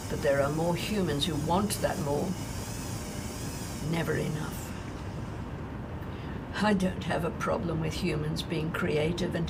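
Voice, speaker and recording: A woman playing a bitter, sorrowful woman left on a doomed Earth, in a deliberate, reproachful dramatic monologue. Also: lowish voice. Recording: clearly noisy recording.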